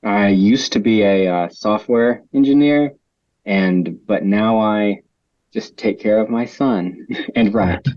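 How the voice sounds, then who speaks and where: A man introducing himself on an online writers' panel, speaking haltingly with many fillers, heard over dull call audio. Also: on the low side, no room sound. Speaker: panelist, author. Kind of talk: casual panel chat.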